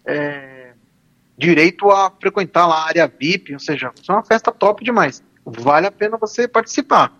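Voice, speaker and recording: A man's voice: fairly high pitch, animated, normal speed, bright, forceful tone, lo-fi sound. A man, a law professor and radio guest, talking informally with hesitant restarts. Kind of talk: casual radio chat.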